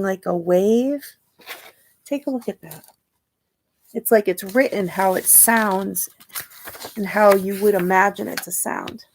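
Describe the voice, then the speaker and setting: A woman reading a children's picture book aloud expressively, pausing to ask her young listeners questions and point things out. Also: mid-range voice, vocal fry. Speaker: storytime reader and teacher.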